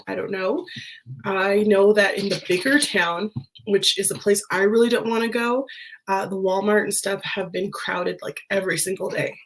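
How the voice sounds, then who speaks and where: A woman's voice: mid-range voice, low-quality recording. A woman vlogger chatting casually to her viewers, her voice nasal and congested as she recovers from an illness, rambling with fillers and small laughs. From United States. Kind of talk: casual chat to camera.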